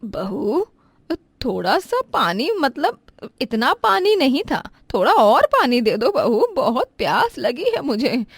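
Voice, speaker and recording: Female narrator as meek Indian-family matriarch, older, affectionate and imploring, lively pitch movement. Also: slow, creaky, husky, no room sound, full character voice. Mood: pleading. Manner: pleading, affectionate, self-effacing. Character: meek, kindly, thirsty elderly mother-in-law.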